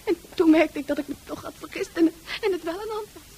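Very high-voiced, distraught mental patient. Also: female voice, dark tone. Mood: distressed. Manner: halting, repetitive, distraught. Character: frightened, agitated female psychiatric patient seeing menacing hands. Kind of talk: radio drama acting.

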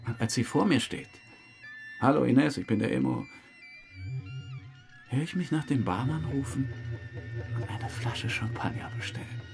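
A man's voice: medium pitch, unhurried, creaky, pauses often, faint music behind. An actor playing a boastful, weary male suspect who rambles through a confession in a naturalistic radio drama. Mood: animated, urgent. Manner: rambling confessional monologue, acting out remembered exchanges.

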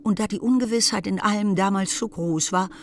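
A woman narrating a German literary radio play from a script, in a wry, expressive manner. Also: medium pitch, fairly quick, a little hiss or hum. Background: music.

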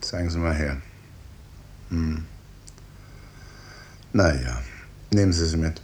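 An actor playing a stern, brooding, guilt-ridden professor and clinic chief in a 1950s German radio drama, speaking with emphatic authority. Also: male voice, very deep voice, slow, darkish tone. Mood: brusque, clipped. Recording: early 1950s radio studio recording.

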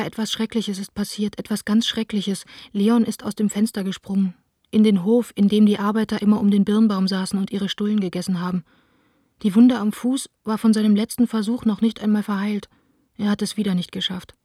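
An actress performs a disheartened young woman in a radio play, speaking her letters aloud. Her voice is soft-edged and dark, with very little melodic movement, subdued and intimate in a dry studio. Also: mid-range voice, flat delivery, normal speed, husky, no room sound, close to an everyday voice. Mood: shaken, pleading. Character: Anna, disheartened young woman theatre director writing letters. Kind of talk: radio drama letter monologue.

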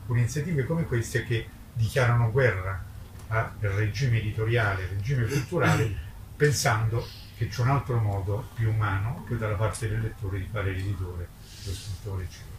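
A man's voice: lowish voice, normal speed. An older man, an independent publisher, speaks off the cuff at a book presentation in an emphatic, polemical manner, repeating words for weight. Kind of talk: impromptu speech at a literary book presentation. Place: live book presentation at a festival event. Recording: distant mic, echoey, noisy ambience.

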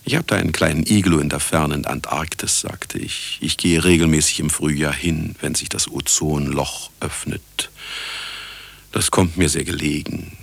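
An older retired scientist in a radio play, with an extremely low, crackling voice recorded close and dry. He narrates with sardonic self-importance, cynical and vain. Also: fairly even intonation, moderate pace, gravelly, breathy edge, no echo, full character voice. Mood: smug, wry. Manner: sardonic, self-important, talking to listener and sound engineer. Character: cynical, vain, retired male scientist telling his own story. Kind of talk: satirical radio drama monologue.

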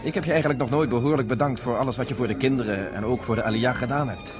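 An actor playing a self-assured, courteous resistance fighter with a dry edge, in radio drama dialogue. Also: male voice, mid-range voice, rapid-fire, lightly acted. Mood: relaxed, courteous. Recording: radio play with loud music, crowd.

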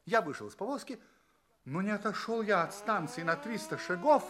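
An actor playing an earnest, polite space traveller who recounts his adventures in theatrical audio play narration, with dramatic pauses. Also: high voice, expressive. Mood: wry storytelling.

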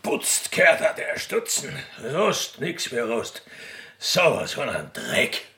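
Radio comedy: male performer as grumbling old Austrian village patriarch, gruff, gravelly, halting, stammering in irritation. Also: medium pitch, huge pitch swings, exaggerated voice. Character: grumpy, blustering old rustic father, railway official and poacher.

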